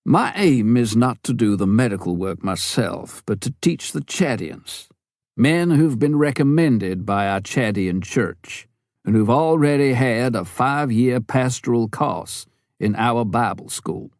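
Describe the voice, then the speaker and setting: A man voicing a big, hearty American missionary doctor in an audiobook, with emphatic, drawn-out delivery. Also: mid-range voice, animated, a little mellow, dry recording, studio quality. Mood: earnest explaining.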